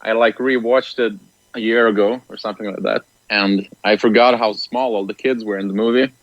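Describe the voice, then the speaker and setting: Soft-spoken young man, muffled line. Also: on the low side, fairly quick, dry recording. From Lithuania. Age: late 20s. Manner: relaxed, soft-spoken, laughs often. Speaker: musician guest. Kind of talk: casual interview over the phone.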